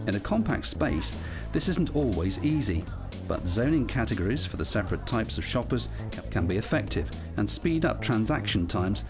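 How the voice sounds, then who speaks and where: A British man reading scripted narration for a training film. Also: lowish voice. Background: background music.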